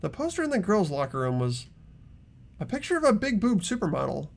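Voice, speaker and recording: A man's voice: high-pitched, wildly swooping pitch, fairly quick, vocal fry, exaggerated voice. A man playing an earnest teenage boy who reasons aloud tentatively in an amateur game dub. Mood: earnest, thoughtful.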